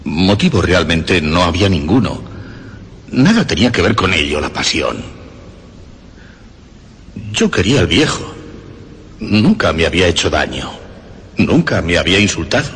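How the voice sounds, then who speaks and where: An actor playing a nervous, obsessive murderer who insists he is sane, in an agitated, emphatic radio horror monologue with halting repetitions. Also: deep voice, a bit bright, breathy edge, full character voice. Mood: controlled, insistent. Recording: long reverb, faint background noise.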